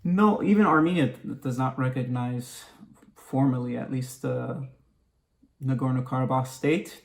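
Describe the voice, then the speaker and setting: Male history expert guest, even intonation, medium pitch, measured and formal explanatory answers. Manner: measured, precise, formal wording.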